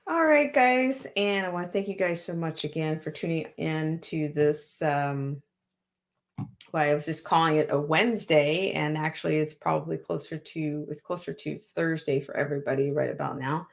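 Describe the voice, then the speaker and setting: A woman's voice: sits low, normal speed, vocal fry. A woman hosting a live stream, thanking viewers in a loose, rambling way with many fillers. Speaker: livestream host. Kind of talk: casual live stream sign-off.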